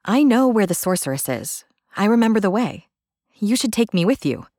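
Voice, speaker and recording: A woman's voice: medium pitch, sing-song, moderate pace, darkish tone, no room sound, studio quality. A woman voicing a stubborn, perceptive young fantasy sister, earnest and challenging, in an audiobook. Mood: insistent.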